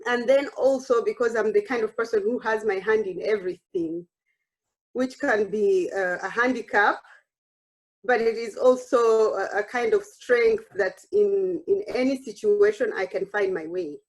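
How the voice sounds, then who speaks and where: A woman's voice: medium pitch, measured pace, low-quality recording. A woman, telling her personal story at an online workshop in an earnest way with frequent restarts. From Uganda. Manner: warm, earnest, with frequent restarts and self-quoting. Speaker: workshop speaker.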